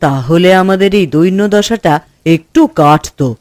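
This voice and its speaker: Wistful cartoon elder sister, male actor: poor village wife, raised pitch, music underneath. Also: moderate pace, no echo, studio quality, natural voice. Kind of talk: cartoon voice acting. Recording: music behind the voice.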